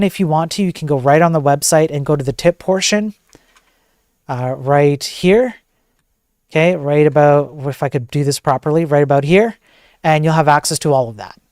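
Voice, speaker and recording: A man with a soft voice chatting casually to viewers as a livestream host, in an informal, joking, rambling way. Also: on the high side, smooth, no room sound, clean studio sound.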